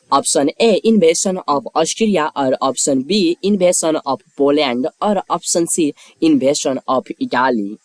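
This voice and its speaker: A man's voice: fairly high pitch, low-quality recording. A man reading scripted quiz questions and lettered answer options as a narrator.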